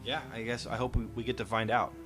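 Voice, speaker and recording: A man's voice: medium pitch, little pitch movement, quick pace, fairly bright tone, faint music behind. A man hosting a casual fan discussion, explaining his points emphatically and posing questions that he answers himself. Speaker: podcast host.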